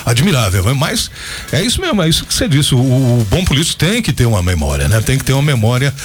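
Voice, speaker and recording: A man's voice: mid-range voice, huge pitch swings, quick pace, a little hiss or hum. A Brazilian man hosting a radio show, chatting colloquially, telling anecdotes and reading ads live. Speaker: radio host. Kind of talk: casual chat with live ad reads. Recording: radio talk over background music. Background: music.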